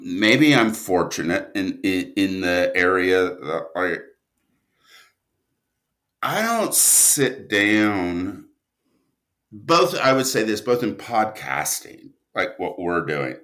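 A man talking casually as an interview guest, a writer who trails off and restarts his thoughts in an animated way. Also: fairly low pitch.

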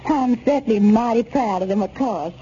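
A woman's voice: a little high, moderate pace, warm tone. A woman reading a scripted testimonial letter for a 1930s radio advertisement. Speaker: letter reader. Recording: muffled, noisy 1930s radio recording.

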